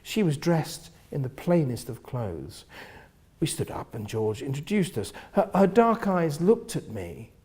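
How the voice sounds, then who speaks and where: A man narrates a novel in a husky, fairly high voice. His delivery is theatrical and expressive, with wide swings in pitch and phrases that sink into a hush. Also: a little slow. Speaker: reader of a novel. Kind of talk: dramatic first-person literary narration.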